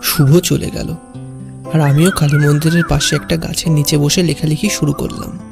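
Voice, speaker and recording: A man playing a carefree, stubborn young city poet who tells his own tale in an animated horror story. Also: fairly high pitch, normal speed, gravelly, music in the background. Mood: calm storytelling. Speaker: narrator and lead character. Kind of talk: first-person story narration and cartoon dialogue.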